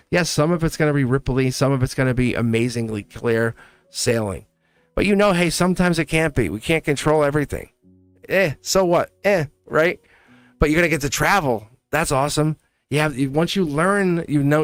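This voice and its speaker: A man's voice: a little high, expressive, normal speed, no echo. A young man doing a casual, upbeat live tarot reading, talking to viewers in a chatty way and laughing often. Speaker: tarot reader.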